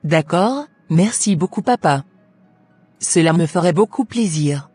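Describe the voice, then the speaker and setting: A woman's voice: fairly low pitch, animated, unhurried, a little mellow, breathy edge, dry recording. Woman playing an obedient cartoon daughter, dry sound. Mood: obedient, grateful. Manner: polite, scripted phrasing. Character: obedient, devout, polite teenage daughter. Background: faint music.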